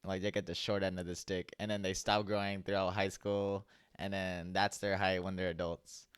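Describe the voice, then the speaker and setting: A young man chatting casually on a podcast, his voice low-pitched and smooth. He rambles through stories at an easy pace, filling the gaps with "like" and "um.". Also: flat delivery, normal speed. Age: young adult. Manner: laid-back storytelling, heavy use of "like". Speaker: podcast co-host. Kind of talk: casual chat.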